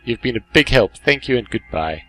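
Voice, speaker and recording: A man's voice: mid-range voice, animated, normal speed, pushed and bright, soft background music, rough recording. Easygoing runaway robot read by a man. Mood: grateful. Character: friendly runaway robot hero of a game.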